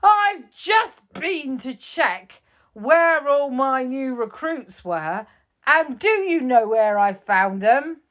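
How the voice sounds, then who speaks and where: A woman voicing a bossy male police chief in a children's story, loud, stern and scolding. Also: high-pitched, expressive, takes it slowly, pitched above the performer's own voice, heavily acted.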